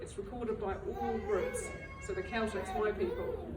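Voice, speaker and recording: A woman's voice: fairly high pitch, wildly swooping pitch, moderate pace, loud music behind the voice, a loud crowd. Kiwi-woman, public talk, echoey room, distant mic. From New Zealand. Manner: self-deprecating, chatty. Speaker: library director giving a talk. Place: library event room. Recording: roomy, far from the mic, noisy.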